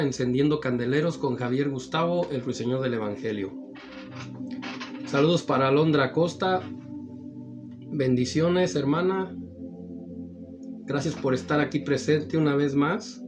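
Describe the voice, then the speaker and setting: A slightly hoarse man opens his gospel broadcast at a very slow, deliberate pace, in a voice pitched a bit high for a man. He keeps repeating warm addresses to his listeners, and music plays clearly underneath him. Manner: repetitive addresses, drawn-out phrases. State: slightly hoarse after the flu. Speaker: evangelical preacher and program host. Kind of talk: slow, warm-toned radio-style greeting and preaching. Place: studio in Pomona, California. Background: background music.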